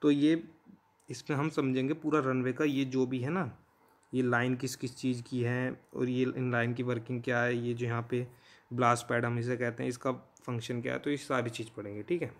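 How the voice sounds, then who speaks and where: A man's voice: mid-range voice, level tone, normal speed. Indian man explaining informally, often checking in. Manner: conversational, frequently checks in with listeners. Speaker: teacher, explainer. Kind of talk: informal explanatory lesson in Hindi mixed with English terms.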